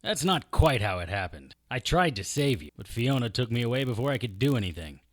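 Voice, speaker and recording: An actor playing a wry, unflappable private investigator in an audio drama, narrating and talking in a deadpan, sardonic way. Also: male voice, mid-range voice, a little fast, dry recording, studio quality, natural voice. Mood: wry, unruffled. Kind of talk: hard-boiled detective audio drama acting with first-person narration.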